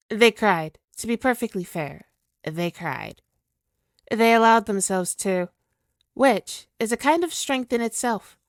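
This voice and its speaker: Slow, brooding possessed villainess, acted by a woman. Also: mid-range voice, no room sound, studio quality, lightly acted. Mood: cool, knowing. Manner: slow, theatrical, brooding, dwelling on words. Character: possessing spirit villain in a woman's body, yandere, obsessive, tormented. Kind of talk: ASMR dramatic roleplay monologue.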